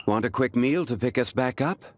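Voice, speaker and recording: A male actor playing a calm, dignified, ancient immortal man in a video game, speaking in a measured, formal, refined way. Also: medium pitch, close to an everyday voice. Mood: genial, composed. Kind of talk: scripted video game character voice lines.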